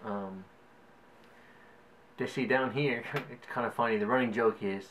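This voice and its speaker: A man's voice: mid-range voice, fairly even intonation, measured pace, fairly bright tone, a little hiss or hum, low-quality recording. A young man from South Louisiana with a Cajun accent, rambling casually through a word list in a vlog, with lots of "like" and "um". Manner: rambling, hedging with "like" and "um". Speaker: vlogger.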